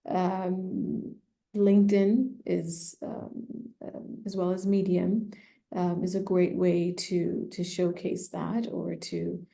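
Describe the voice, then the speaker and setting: Low, gravelly-voiced woman giving advice. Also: level tone, mellow. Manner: measured, many fillers, thoughtful. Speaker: personal branding specialist, guest. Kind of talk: conversational expert advice.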